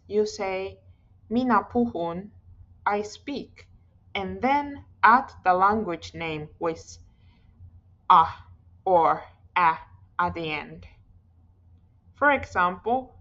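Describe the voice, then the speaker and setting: A woman teaching a Finnish language lesson, speaking slowly and repeating each word clearly for learners. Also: mid-range voice, fairly bright tone, lo-fi sound. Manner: slow, careful pronunciation drills, repeating each word. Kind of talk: slow scripted language lesson.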